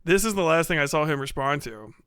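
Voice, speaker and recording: Male streamer, slightly high bright voice, loose sweary chat with viewers. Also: animated, fast talker, clear, resonant tone, no echo, clean studio sound. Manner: profane, slangy. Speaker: livestream host. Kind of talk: casual livestream chat, reading and answering viewer comments.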